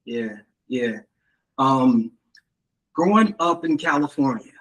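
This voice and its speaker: Male host, mid-range voice, relaxed, lots of "yeah" and "wow" between questions. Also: mid-range voice, takes it slowly, low-quality recording. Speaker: interview host. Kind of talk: casual interview questions.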